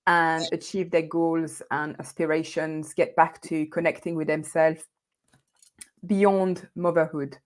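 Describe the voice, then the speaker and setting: French-accented woman coach, slightly low voice, animated, laughs a lot, restarts phrases. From France. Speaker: guest coach. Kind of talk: conversational interview.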